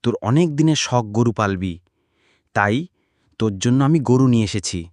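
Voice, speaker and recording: A man voicing a poor, gentle farmer and doting father in a cartoon. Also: mid-range voice, expressive, normal speed, dry recording, close to an everyday voice. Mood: fond, pleased. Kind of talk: cartoon dubbing.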